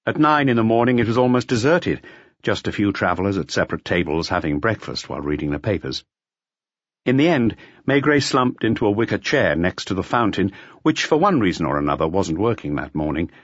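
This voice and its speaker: A man reading a mystery novel as an audiobook narrator in an expressive, scripted style. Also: fairly low pitch, fairly quick, dry recording. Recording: slightly dull, lo-fi audio.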